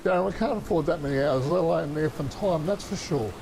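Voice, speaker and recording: A man's voice: fairly high pitch, quick pace, vocal fry, a little mellow. A man with an Australian accent playing a blunt, matey office boss in an audio drama, talking in colloquial, mildly sweary slang. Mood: blunt, pressing. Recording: noisy track with rain ambience.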